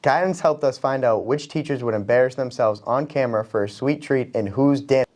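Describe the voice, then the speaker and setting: A man's voice: mid-range voice, brisk, a little mellow. Teenage boy co-hosting with scripted jokes. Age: teens, high school student. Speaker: student co-host of a school holiday show. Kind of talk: scripted comedy banter.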